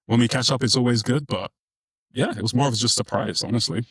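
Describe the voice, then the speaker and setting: A man's voice: medium pitch, a little fast, fairly bright tone, no room sound. A man who is a podcast guest, answering in an easygoing, casual way and saying "honestly" a lot.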